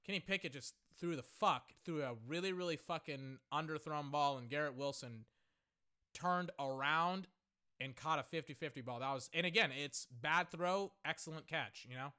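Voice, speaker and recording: A young man hosting a podcast, reacting live while he watches, excitable and swearing freely, his voice going hoarse. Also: a little high, normal speed. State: voice getting hoarse. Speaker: podcast host.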